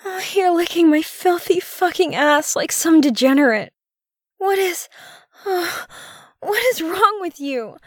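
Slow-talking, coy girlfriend played by a woman. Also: low voice, darkish tone, no echo, clean studio sound. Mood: breathless, flustered.

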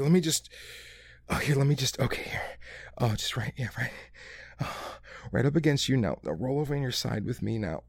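Gravelly, husky man in intimate roleplay. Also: mid-range voice. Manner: breathy, moaning, heavy exclamations. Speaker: audio roleplay performer. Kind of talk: intimate roleplay monologue addressed to a listener.

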